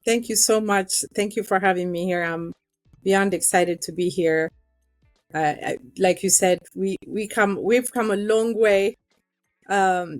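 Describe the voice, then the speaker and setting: A woman's voice: medium pitch, no echo. A middle-aged woman from Ethiopia, a guest telling her own story in an interview, earnest and emotional, with many fillers.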